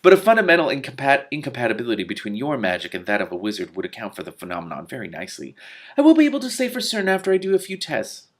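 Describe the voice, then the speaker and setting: A man's voice: medium pitch, huge pitch swings, very fast. A man voicing a precise, scholarly male magician from a storybook, formal, careful and wordy. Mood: analytical.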